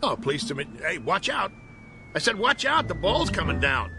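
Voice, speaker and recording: An actor playing a sour, wisecracking baseball manager in a radio drama, blunt, slangy and sarcastic. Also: male voice, a little high, sing-song, moderate pace. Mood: startled, concerned.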